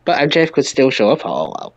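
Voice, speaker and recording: A man hosts a casual debate podcast with a very lively, exclaiming delivery. His voice swings widely in pitch. The sound is dry and slightly dull. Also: quick pace, creaky, no room sound. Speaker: wrestling podcast host.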